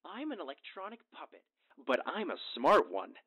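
Woman as creepy animatronic puppet, singsong and chipper, low voice, swinging pitch, dull lo-fi sound. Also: big pitch swings, moderate pace, a bit bright, slightly rough, exaggerated voice. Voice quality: tinny lo-fi recorded voice. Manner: singsong, cheerful canned phrases. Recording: dull lo-fi game audio.

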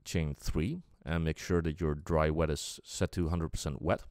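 A man with an extremely low, smooth voice walks through a software tutorial in a casual way. He explains step by step, feeling out his sentences as he goes. The sound is dry and close to the mic. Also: steady tone, moderate pace, no echo. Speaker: music production tutorial presenter.

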